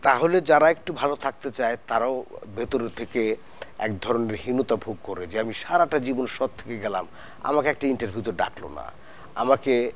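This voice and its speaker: A man with a Bangladeshi accent and a medium-pitched voice speaks as a talk show guest. He is earnest and argumentative, laying out his opinions in a conversational discussion. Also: animated, moderate pace, a little hiss or hum. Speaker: actor guest.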